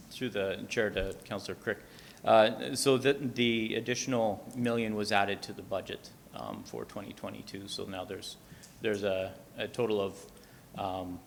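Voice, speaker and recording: A man speaking as a municipal administrator at a formal council meeting, halting and procedural as he reports. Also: lowish voice, gravelly. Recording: reverberant meeting room, background noise.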